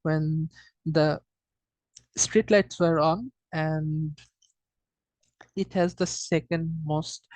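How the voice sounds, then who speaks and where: A student giving an informal data presentation in a hesitant, halting way, often repeating and correcting themselves. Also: mid-range voice, steady tone, darkish tone, dry recording, rough recording.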